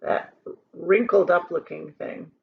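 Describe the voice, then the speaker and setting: A woman reading a children's book aloud like a teacher, expressive and dramatic, with emphatic pauses. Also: fairly low pitch, creaky, husky, phone-quality sound. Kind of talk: expressive storybook read-aloud.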